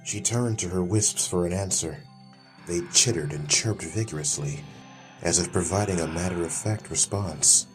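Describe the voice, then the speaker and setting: A man narrates a fantasy story in a low voice. He reads as a scripted audiobook storyteller, with soft music running behind him. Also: moderate pace, rough recording.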